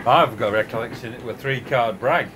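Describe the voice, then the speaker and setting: A man playing a dry, argumentative football supporter in a radio play, terse and deadpan. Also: mid-range voice, normal speed, close to an everyday voice. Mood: contrary. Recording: train noise over voice.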